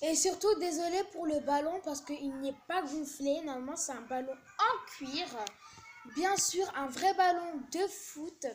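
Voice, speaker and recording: A woman's voice: high voice, a little slow, a little hiss or hum. A young girl filming a home video on a phone, chatting casually in a hesitant way with many fillers, in a small living room. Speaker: camera operator. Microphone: phone camera held by speaker.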